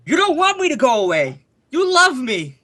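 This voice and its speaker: A man plays a lovestruck young suitor in a radio melodrama. He is earnest and insistent, declaiming in a bright, forceful voice that swings widely in pitch. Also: huge pitch swings, normal speed, full character voice. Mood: tender, insistent. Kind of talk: old-time radio melodrama acting.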